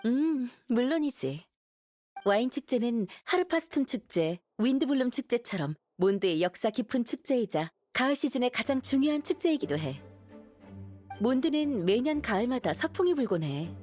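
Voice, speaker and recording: A dutiful young woman who commands knights in an anime game. Her voice is somewhat high, composed and authoritative, with music clearly playing behind it. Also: sing-song, normal speed, a little mellow. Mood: earnest, explaining. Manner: formal, earnest, authoritative.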